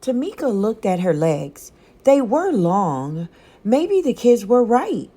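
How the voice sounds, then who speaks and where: Slow, animated woman reading a storybook. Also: on the high side, slightly rough, no room sound. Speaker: storytime reader. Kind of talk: expressive children's picture book read-aloud.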